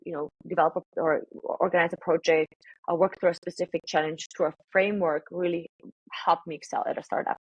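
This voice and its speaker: A woman's voice: fairly low pitch, slightly rough, dry recording, rough recording. A woman from Bulgaria in her thirties, a guest talking casually and energetically in an interview, with many fillers. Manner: energetic, filler-heavy, quick bursts. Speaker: guest, sales director.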